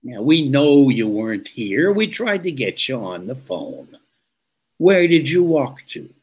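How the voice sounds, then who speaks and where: An actor playing a suspicious police lieutenant who questions bluntly and insistently, in 1940s radio drama style. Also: male voice, medium pitch, big pitch swings, a little slow, slightly rough. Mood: accusing.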